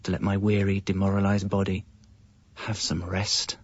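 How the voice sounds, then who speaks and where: A man plays a put-upon British father in a radio comedy, worn out and frustrated. His voice sits fairly low and he tells his woes with wry self-pity. The sound is muffled, with clear noise behind it. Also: completely flat delivery, normal speed, natural voice. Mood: weary, wry. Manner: wry, self-pitying storytelling. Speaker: narrator and lead actor. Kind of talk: radio comedy monologue and dialogue.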